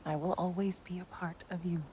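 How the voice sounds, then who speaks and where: Woman playing a gentle low-voiced wife, serene and wise. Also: level tone, a little hiss or hum, close to an everyday voice. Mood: hushed, tender. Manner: soft, measured, tender. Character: gentle, wise mortal wife, serene about her death. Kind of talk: dramatic video game acting.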